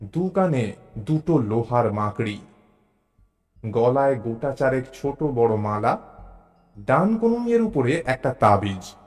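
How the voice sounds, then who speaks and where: Male narrator for a suspense audio story, mid-pitched, expressive delivery with deliberate pauses. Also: soft background music. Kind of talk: dramatic first-person suspense story narration.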